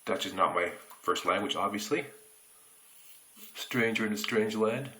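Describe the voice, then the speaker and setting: An American man telling a childhood story in a relaxed, reflective way while shaving, recorded on a phone camera in a bathroom. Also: fairly low pitch. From United States. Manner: reflective, unhurried anecdotes with pauses. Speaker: shaving reviewer.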